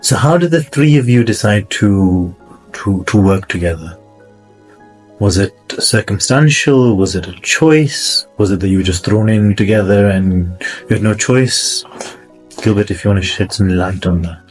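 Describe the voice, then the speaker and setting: A man's voice: lowish voice, moderate pace, a little mellow, breathy edge, faint music behind. A man interviewing guests, asking questions attentively and murmuring in agreement. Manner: attentive, frequent affirming murmurs.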